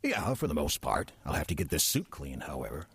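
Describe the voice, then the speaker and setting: A man playing a wry demon in the guise of an older church usher in an audio drama, with a husky voice and dry, deadpan delivery. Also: rumbling low, faint music behind, full character voice. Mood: calm, dry. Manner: dry, deadpan, unhurried sarcasm. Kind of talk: audio drama acting with first-person narration.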